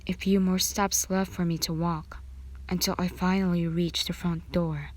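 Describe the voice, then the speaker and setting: A woman narrating a scripted fan fiction story in ASMR style, breathy and often half-whispered. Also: fairly low pitch, little pitch movement, moderate pace.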